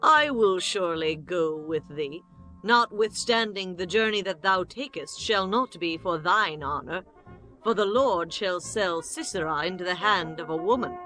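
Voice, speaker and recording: Lady playing a commanding bible prophetess, solemn and declamatory, bright voice, faint music behind. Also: fairly low pitch, unhurried, rough recording. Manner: solemn, declamatory, archaic phrasing. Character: commanding biblical prophetess and tent-dwelling woman. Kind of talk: dramatized scripture reading.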